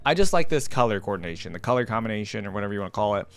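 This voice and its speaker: A man's voice: fairly low pitch, lively intonation, rapid-fire, creaky, faint music behind. A man giving casual, informal reaction commentary, rambling along as he talks straight to his viewers. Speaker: reaction video host.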